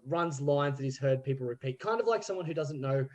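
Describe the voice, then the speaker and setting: A man's voice: medium pitch, lively intonation, a little mellow. A man explaining things casually as a podcast guest, often correcting himself and restarting as he thinks aloud. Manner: halting, self-correcting, acts out examples. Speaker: podcast guest, amateur actor.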